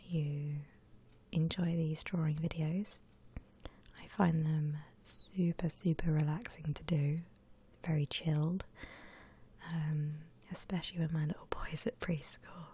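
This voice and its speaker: A woman hosts an ASMR drawing tutorial in a breathy whisper. Her voice is soft-edged and low, and she explains each step gently and very slowly. A faint layer of noise sits behind her. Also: fairly even intonation. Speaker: host of an ASMR drawing channel.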